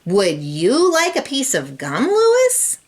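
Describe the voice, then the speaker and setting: A woman voicing an excitable, easily flustered young schoolboy prankster in a storybook, with exclamatory, emphatic delivery. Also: sits high, slow, pitched above the performer's own voice, full character voice. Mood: sly.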